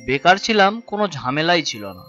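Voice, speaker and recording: Bright-voiced young cartoon son. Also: male voice, on the high side, animated, fast talker, soft background music. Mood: weary. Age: young adult. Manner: exasperated, sarcastic. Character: burdened, irritable young working son. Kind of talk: cartoon voice acting.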